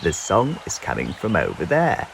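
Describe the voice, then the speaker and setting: A man voicing a matter-of-fact frog in a storybook, with a croaking voice. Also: low-pitched, wildly swooping pitch, normal speed, heavy background noise, pitched below the performer's own voice, full character voice.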